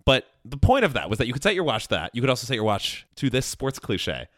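A man's voice: mid-range voice, sing-song, quick pace, fairly bright tone, dry recording. A man co-hosting a podcast, chatting casually about sports with dry, wry humor. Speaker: podcast co-host.

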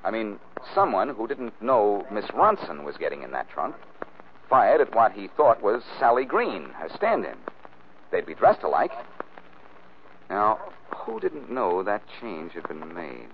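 An actor playing a wry, sharp amateur detective in a 1940s radio drama, musing and questioning as he talks. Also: lowish voice, huge pitch swings, unhurried, full character voice. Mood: probing. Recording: muffled, narrow-band old radio recording, faint hiss.

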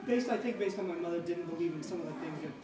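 A man's voice: on the high side, rapid-fire. A middle-aged man from the Midwestern United States speaking as an interview guest, telling personal stories conversationally and repeating phrases for emphasis. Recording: echoey, distant mic with music underneath.

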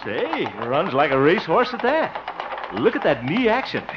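An actor playing a blustery, soft-hearted, theatrical man in a 1950s radio comedy. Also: fairly high pitch, very animated, unhurried, background noise. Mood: cheerful, then alarmed. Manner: theatrical, exclamatory. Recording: dull old radio recording, music.

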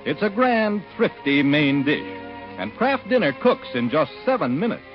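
An American male announcer reads a 1940s radio commercial. His pitch is a bit high and moves freely. The sound is dull and old, with faint music. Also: big pitch swings, moderate pace.

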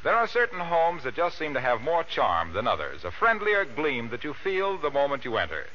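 A man's voice: mid-range voice, wildly swooping pitch, normal speed, bright, forceful tone. A man reading a scripted 1940s radio advertisement as announcer, with a polished, persuasive sales delivery. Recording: muffled, faintly noisy old radio recording.

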